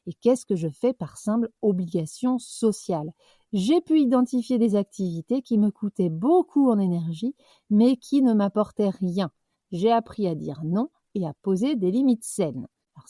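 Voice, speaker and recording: A young woman hosting a podcast, reflecting out loud in a loose, conversational way with fillers and self-corrections. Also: medium pitch, no room sound, studio quality. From French-speaking, living abroad. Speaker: podcast host. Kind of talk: personal reflective monologue.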